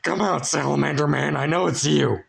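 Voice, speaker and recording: A man puts on a brash, foul-mouthed ringleader from a comic audiobook. The voice sits raised and loud, full of exclamation and scolding. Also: fairly high pitch, normal speed, vocal fry, voice pushed up.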